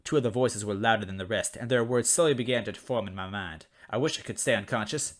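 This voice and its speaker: A man's voice: on the low side, fast talker. A man narrating a comic first-person story as a read-aloud. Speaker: story reader.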